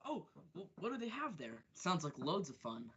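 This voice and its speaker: A woman playing a casual, curious customer in a scripted radio advert, husky and laid-back. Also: lowish voice, big pitch swings, moderate pace, lo-fi sound, slight background noise.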